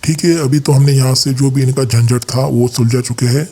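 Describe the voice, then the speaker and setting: A man's voice: mid-range voice, steady tone, moderate pace, mellow, breathy edge. An Indian man hosting a gaming channel, giving casual gameplay commentary and explaining things to viewers as his friends.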